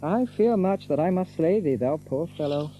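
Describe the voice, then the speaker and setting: An actor playing an unruffled, polite gentleman in an archaic audio drama, speaking with gentle mockery. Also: fairly high pitch, lively intonation, normal speed, dull audio, soft background music, lightly acted. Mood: mock regret. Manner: polite, leisurely, gently mocking. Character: mild, courteous, unruffled gentleman stranger with hidden strength.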